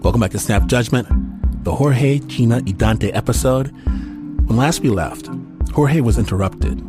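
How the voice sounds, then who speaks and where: A man's voice: mid-range voice, normal speed. A man hosting a radio storytelling show, reading a dramatic scripted recap. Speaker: show host. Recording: strong music bed. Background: music.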